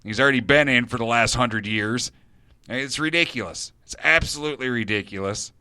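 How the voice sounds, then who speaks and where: A man's voice: mid-range voice, moderate pace, bright, forceful tone, clean tone, dry recording. A man hosting a radio show, giving a blunt opinion monologue and repeating words for emphasis.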